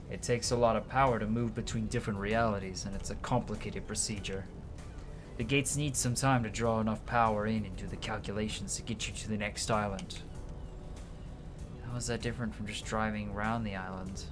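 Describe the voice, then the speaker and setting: A man's voice: lowish voice, fairly even intonation, moderate pace. A man streaming a game, reading its dialogue aloud in a casual way and stumbling now and then, with side comments of his own. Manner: casual, stumbles and rereads as he goes. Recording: music behind the voice. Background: game music.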